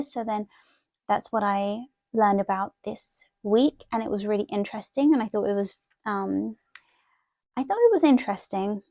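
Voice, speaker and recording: A woman's voice: mid-range voice, warm tone, no echo, clean studio sound. A woman chatting casually and ramblingly as she talks viewers through something in an informal show-and-tell. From half Thai, half Italian heritage. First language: Thai. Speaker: planner and journaling vlogger.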